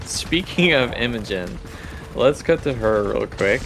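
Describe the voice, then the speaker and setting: A man's voice: medium pitch, expressive, a little slow. A man running a tabletop game as game master, narrating casually and improvising as he goes. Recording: faint background noise.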